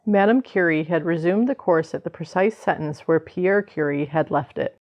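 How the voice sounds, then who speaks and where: An actress playing a solemn, admiring narrator in an old-time radio drama, grave and oratorical. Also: female voice, lowish voice, normal speed, a little mellow, soft background music, lightly acted. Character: solemn, admiring woman storyteller of a biography. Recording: slightly dull, band-limited sound.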